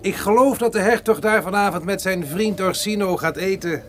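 A man's voice: high voice, normal speed, a bit bright. An actor playing a talkative, sly, nervous soldier who boasts and stumbles over his words in a gothic radio play. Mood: chatty, boastful. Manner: chatty, boastful, stammering over slips. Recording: noisy old radio recording.

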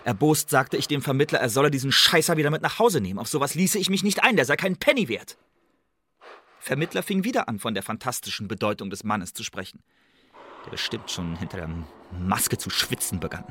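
An actor playing an uneasy secret agent in a radio play, giving formal, clipped reports with agitated edges. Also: male voice, medium pitch, expressive, fairly quick, a bit bright, husky. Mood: indignant. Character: male secret agent Leander reporting anxiously to his superiors.

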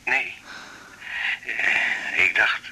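An actor playing a troubled, hesitant middle-aged father, subdued on the telephone in a radio drama. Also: whispered. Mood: hesitant, uneasy. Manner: halting, subdued. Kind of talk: naturalistic radio drama acting. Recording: noisy telephone line with clicks.

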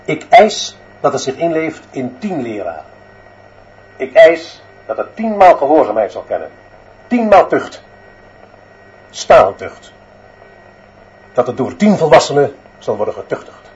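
High-voiced dictatorial headmaster, declaiming. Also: male voice, big pitch swings, measured pace, long pauses, darkish tone, lo-fi sound. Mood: severe, declaiming. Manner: declamatory, emphatic repeated demands. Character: dictatorial male headmaster obsessed with iron discipline. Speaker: actor in a radio play. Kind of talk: Dutch radio drama monologue.

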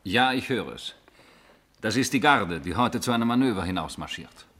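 A young male officer serving his lord in a fifties radio drama. His voice sits fairly low. He speaks formally and with restraint, respectful on the surface and quietly probing underneath. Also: lively intonation, moderate pace. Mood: reserved. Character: young Roman officer, deferential, reserved, quietly probing servant. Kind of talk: 1950s German radio drama acting.

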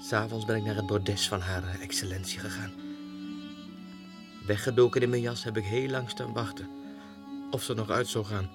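An actor playing a lovesick, deluded clerk sliding into madness, in a theatrical radio monologue that shifts into breathy whispers. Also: male voice, lowish voice, steady tone, moderate pace, long pauses, natural voice. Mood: brooding. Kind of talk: 1960s radio drama monologue, diary read aloud. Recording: 1960s radio recording with music.

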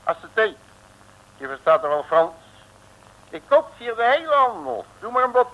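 An actor playing a brash, cheeky detective with colloquial, slangy bluster in a 1960s radio comedy. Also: male voice, sits high, big pitch swings, normal speed, lo-fi sound. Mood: brash, impatient. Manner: colloquial slangy bluster, calls himself uncle. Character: brash, cheeky, big-spending male detective, streetwise and jovial. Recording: noisy old 1960s radio recording.

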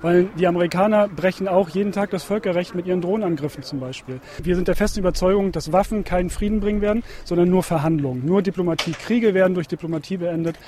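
A man's voice: sits high, fast talker, hardly any pauses, darkish tone. A man giving a political statement as an organiser of a demonstration.

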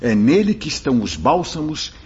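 Guy playing kindly solemn brazilian spirit guide, super raspy, preachy radio play, kinda muffled audio. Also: on the high side, big pitch swings, darkish tone, full character voice. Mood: consoling, solemn. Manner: preacherly, measured, emphatic. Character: kindly, solemn male spirit mentor, grave and exhorting. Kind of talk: Portuguese radio drama acting. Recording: dull lo-fi audio.

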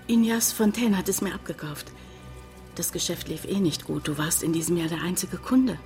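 An actress playing a bitter, pleading village hotel owner in a German radio drama, intense and reproachful. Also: female voice, on the low side, normal speed, darkish tone, faint music behind, close to an everyday voice. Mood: wistful.

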